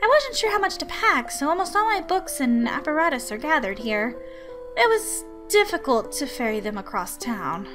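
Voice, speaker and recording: A woman's voice: high-pitched, very animated, normal speed, music in the background. A woman voicing an embarrassed young woman scholar in a visual novel, with formal, apologetic phrasing. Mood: polite, formal. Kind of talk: visual novel character acting.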